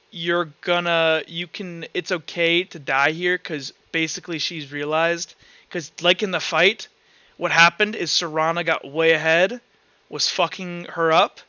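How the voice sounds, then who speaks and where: Male game master, slightly high and very bright voice, blunt and sweary, casual tabletop session. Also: clean tone, no room sound, muffled recording. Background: faint music.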